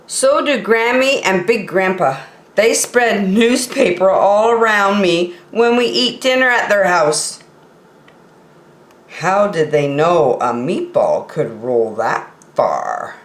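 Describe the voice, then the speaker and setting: A woman reading a children's story aloud in an expressive, playful way, with small asides to her listeners. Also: mid-range voice, slow pace. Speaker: storytime reader. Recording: roomy, echoey sound.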